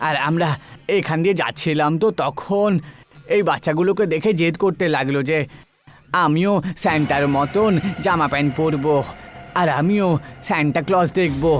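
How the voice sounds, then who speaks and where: Man as a timid, halting poor old grandfather. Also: on the high side, soft background music, natural voice. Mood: humble, nervous. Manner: halting, humble.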